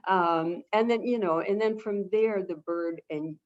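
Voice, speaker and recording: A woman speaking at an ordinary middle pitch, calm and gentle, who keeps circling back to the same phrases. She is explaining things as a guest on a video-call webinar. The audio is dull and lo-fi. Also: a little slow. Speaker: avian behavior expert, webinar guest. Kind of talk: casual explanatory talk.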